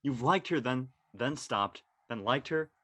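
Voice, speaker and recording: A man acts out a nervous, sincere high school boy in a naturalistic radio play. He talks in casual slang with some hesitation, at a middling pitch. The sound is dry, with very little room. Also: animated, a little slow, sharp tone, dry recording. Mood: teasing, urging. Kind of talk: naturalistic dialogue in a live-streamed audio play.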